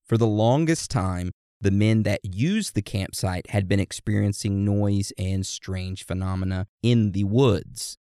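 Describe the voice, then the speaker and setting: A man's voice: fairly low pitch, a little slow, few pauses, warm tone, clean tone, no echo, studio quality. A man co-hosting a casual chat, telling eerie stories. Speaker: podcast co-host.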